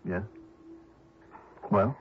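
An actor playing a dry, probing insurance investigator, terse and wry, in a 1960s radio drama. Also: male voice, very slow. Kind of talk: 1960s radio drama acting with scripted narration. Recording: dull vintage radio recording, music behind.

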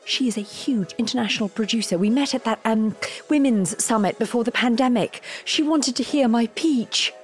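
A woman playing an excitable, self-doubting wife in an audio drama, with a flustered, effusive way of talking. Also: a little high, moderate pace, hardly any pauses, faint music behind, lightly acted. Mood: flustered, excited. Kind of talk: naturalistic audio drama acting.

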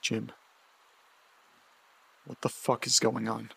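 A man's voice: mid-range voice, level tone, slow pace, gravelly, pushed and bright, husky, full character voice. An actor playing an anxious young man in an audio drama, who is tense and stammers at times. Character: anxious young man searching for his dog, Nate.

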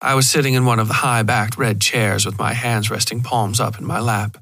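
A man's voice: on the low side, little pitch movement, moderate pace, creaky, fairly bright tone, dry recording. A man reading scripted first-person horror fiction as a podcast narrator, close to the microphone. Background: faint music.

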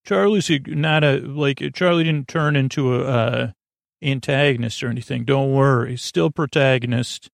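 A man with a creaky voice hosting a bedtime podcast in a soothing, halting, meandering monologue that keeps drifting into tangents. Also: medium pitch, no room sound, clean studio sound. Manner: meandering, halting, digressive, absent-minded. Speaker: podcast host.

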